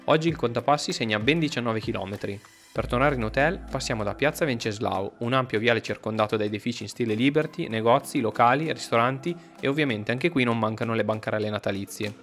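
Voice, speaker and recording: Fast-talking man narrating travels over music. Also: medium pitch, a bit bright. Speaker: travel vlogger. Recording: music under the voice. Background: background music.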